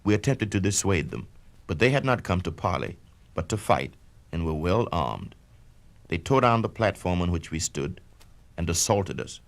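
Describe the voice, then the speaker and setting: Expressive low-voiced man reading a memoir. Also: gravelly. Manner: measured, declamatory and expressive. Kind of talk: dramatic first-person reading of an autobiography. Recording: vintage spoken-word record.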